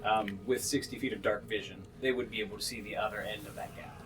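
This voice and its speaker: A man running a tabletop game, improvising scene descriptions in a halting way as he searches for words. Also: fairly low pitch, fairly even intonation, music in the background, low-quality recording. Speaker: game master.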